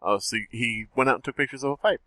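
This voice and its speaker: A man's voice: mid-range voice, fast talker, pushed and bright, no room sound. A man joking wryly and casually as a podcast panelist. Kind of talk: casual commentary and reading aloud.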